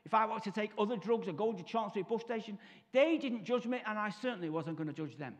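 A man's voice: sits high, fast. A man in his fifties with a Mancunian accent, giving his testimony at a church service, storytelling with comic asides and acted-out voices. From Salford, Manchester. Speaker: guest speaker. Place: large church hall, stage. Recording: reverberant church hall.

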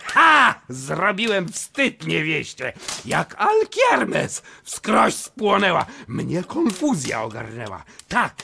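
Gravelly, blustering old nobleman. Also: sits high, huge pitch swings, slow pace, few pauses, slight background noise, exaggerated voice. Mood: excited boasting. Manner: exclamatory, swinging from muttering to shouting. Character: blustering, hot-tempered old Polish nobleman, boastful and stubborn. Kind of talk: theatrical verse comedy radio play.

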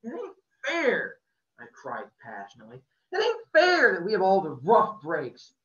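A man's voice: on the low side, steady tone, fast talker, pushed and bright, distant mic, lo-fi sound, voice pushed down. A man reading aloud, voicing a bitter, hot-tempered teenage boy who speaks in passionate outbursts.

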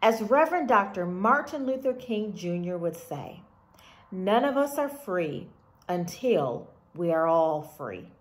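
Middle-aged woman, slow and deliberate, earnest guest speaker on webcam. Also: medium pitch, very animated. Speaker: museum director, guest speaker. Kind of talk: prepared address. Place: home office with bookshelf.

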